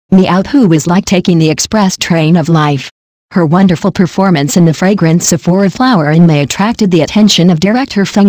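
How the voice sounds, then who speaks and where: A narrator reading a scripted celebrity news story in a flat, machine-like delivery. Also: mid-range voice, no echo.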